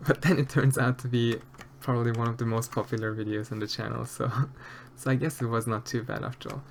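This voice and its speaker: A man's voice: medium pitch, flat delivery, fairly quick, creaky, a little hiss or hum. A man from Austria with an Austrian German accent, chatting hesitantly with viewers of his live stream, full of fillers and restarts. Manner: hesitant, many fillers and restarts, soft-spoken. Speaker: streamer answering chat while sculpting. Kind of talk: casual live-stream chat.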